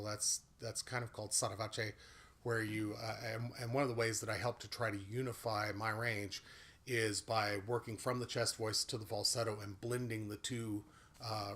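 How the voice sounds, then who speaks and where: A man giving a casual guitar and singing lesson with a big voice, explaining at an easy pace with frequent "uh" fillers. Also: fairly low pitch. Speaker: guitar and voice teacher. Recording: guitar playing loudly behind the voice.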